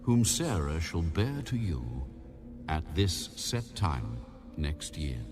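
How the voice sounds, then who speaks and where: An actor playing God as a solemn, commanding, weighty voice in a dramatized audio Bible. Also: male voice, low voice, big pitch swings, music in the background, heavily acted. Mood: firm, majestic. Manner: slow, weighty, declamatory.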